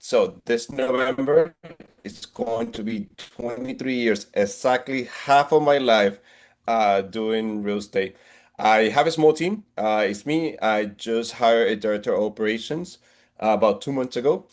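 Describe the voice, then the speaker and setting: A man's voice: medium pitch, a little slow. A man in his forties from Puerto Rico with a Puerto Rican Spanish accent, a real estate agent talking earnestly about his business and priorities. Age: 40s. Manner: earnest, motivational, with frequent restarts. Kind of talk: interview on video call.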